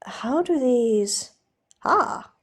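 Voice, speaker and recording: A woman's voice: high-pitched, animated, extremely slow, pitched above the performer's own voice, full character voice. A woman voicing a blunt, plain-spoken and curious male sailor with a casual manner in an audiobook story. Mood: frustrated, pleased. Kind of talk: audiobook character voice.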